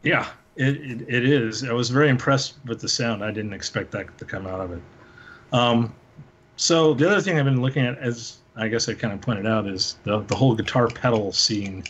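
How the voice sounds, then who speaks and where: A man hosting a casual show-and-tell, thinking aloud in a halting way. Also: medium pitch, a bit bright, breathy edge, dull audio. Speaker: panel host, synth musician.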